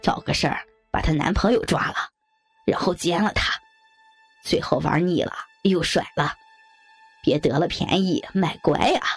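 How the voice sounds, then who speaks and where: A woman's voice: fairly low pitch, normal speed, faint music behind, voice pushed down. A woman voicing crude, leering old men with coarse, jeering banter in an audiobook story. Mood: crude teasing. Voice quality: gravelly, husky.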